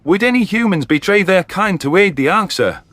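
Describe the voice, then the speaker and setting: A man's voice: high voice, lively intonation, a little fast, a bit bright, dry recording. A man voicing a skeptical, sardonic male alien officer who argues scornfully in a science fiction audiobook. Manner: sardonic, argumentative.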